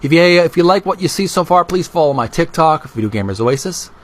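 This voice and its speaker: A man hosting a casual vlog, talking and reading aloud in an earnest, deliberate way with drawn-out emphasis. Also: fairly high pitch, lively intonation. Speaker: video host. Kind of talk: casual vlog narration with book read aloud.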